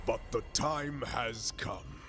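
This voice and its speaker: A man's voice: mid-range voice, slow pace. A man playing a grave, imperious alien villain in a video game, solemn and pompous. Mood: menacing. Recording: loud music under the voice.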